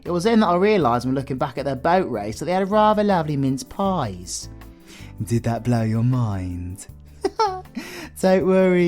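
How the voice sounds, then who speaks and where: A man's voice: mid-range voice, darkish tone. A man with a British accent playing a suave, flirtatious vampire lord in an audio roleplay, courteous, theatrical and playfully teasing.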